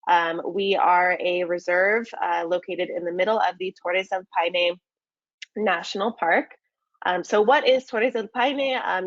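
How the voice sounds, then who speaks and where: A woman's voice: medium pitch, dry recording, highs cut off. A woman from the United States presenting a travel webinar in a friendly way, with many ums and uhs. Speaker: market manager presenting a webinar.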